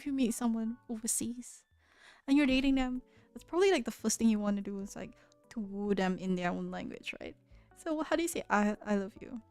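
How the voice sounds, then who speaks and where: A woman's voice: fairly high pitch, darkish tone, dry recording. A woman hosting a livestream, chatting casually with viewers at a desk microphone and often stammering over her words. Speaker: livestream host. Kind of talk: casual chat reading viewer messages. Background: faint background music.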